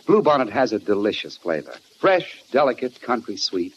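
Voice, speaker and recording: A man's voice: medium pitch, sing-song, normal speed, husky. An American man reading a scripted commercial as a 1940s radio announcer. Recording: dull, very noisy old radio recording.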